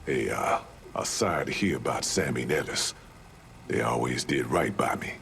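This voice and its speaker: A man's voice: very deep voice, moderate pace, gravelly, background noise. An actor voicing a streetwise, embittered Southern man in a video game, with folksy, salty talk. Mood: sympathetic. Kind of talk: video game cutscene acting.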